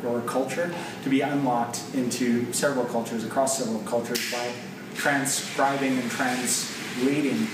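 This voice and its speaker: A Canadian man in his thirties talks through ideas in a conversational, explanatory way, with a mid-pitched voice. He is recorded from a few metres off in a very echoey open office, with clear noise behind him. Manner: conversational, explanatory, thinking aloud. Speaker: software developer presenting a product. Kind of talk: informal whiteboard presentation. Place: large open office loft, indoors. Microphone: camera mic a few metres away. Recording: echoey open room, distant camera mic, noisy. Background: clear background room noise.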